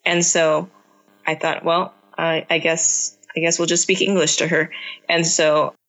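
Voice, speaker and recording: A woman's voice: low-pitched, little pitch movement, measured pace, fairly bright tone, poor audio. A woman answering interview questions about raising her young child, speaking earnestly and reflectively with frequent restarts, her first language Cantonese. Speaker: parent guest.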